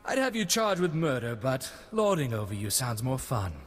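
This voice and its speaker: A man's voice: medium pitch, very animated, moderate pace, soft background music, close to an everyday voice. Actor as a swooping, roguish, lecherous nobleman. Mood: gloating. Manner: theatrical, teasing. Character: roguish, lecherous male noble, smug and flippant. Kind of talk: video game voice acting. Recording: long hall reverb.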